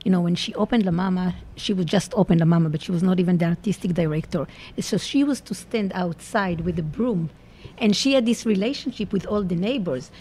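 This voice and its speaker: A woman's voice: lowish voice, brisk, warm tone, slightly rough. A woman from Israel with an Israeli accent, reminiscing in an interview and searching for words as she goes. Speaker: longtime theater company member.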